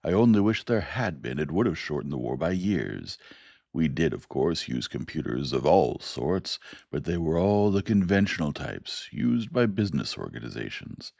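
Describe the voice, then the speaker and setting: A man voicing a frail retired old general who flatly denies the claims. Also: rumbling low, normal speed, vocal fry, dry recording, clean studio sound, heavily acted. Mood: firm. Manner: firm, categorical denials.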